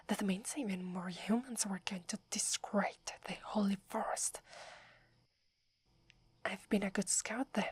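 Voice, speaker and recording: A woman's voice: medium pitch, darkish tone, husky. A woman with an Italian accent voicing a proud, wary female werewolf who guards a holy forest, speaking in an accusing whisper for an ASMR roleplay. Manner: scornful, accusing.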